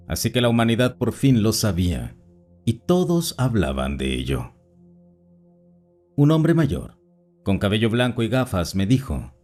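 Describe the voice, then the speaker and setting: A man with a fairly low voice reads personal stories in a calm, measured way. His intonation moves a lot, rising and falling through each sentence. Faint music plays under the voice-over. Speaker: narrator reading listener stories. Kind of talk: scripted narration of written accounts.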